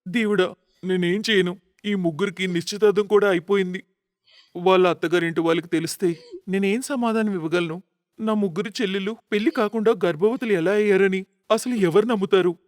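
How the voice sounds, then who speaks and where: A man's voice: high voice, steady tone, a little fast, no room sound, clean studio sound, natural voice. A man playing a devoted, anxious elder brother in a moral-story cartoon, earnest and pleading. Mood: despairing.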